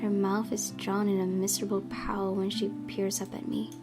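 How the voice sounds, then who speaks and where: Expressive woman narrating over guitar music. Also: medium pitch, moderate pace. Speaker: gaming video host reading a visual novel aloud. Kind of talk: dramatic storytelling narration.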